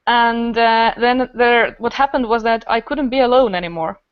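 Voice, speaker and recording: A woman with an Estonian accent chats in a high voice with a smooth, clear tone. She tells stories casually and with enthusiasm. The recording is very dry, close and a little dull. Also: moderate pace, no echo. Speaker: podcast guest, improviser and festival organiser. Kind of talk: casual storytelling in group conversation.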